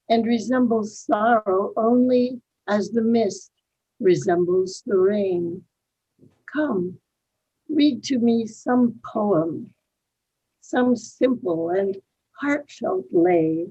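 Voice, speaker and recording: An older woman reciting poetry in a measured, deliberate way, on a slightly dull, muffled recording. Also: mid-range voice, dark tone, slightly rough. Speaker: poetry reader.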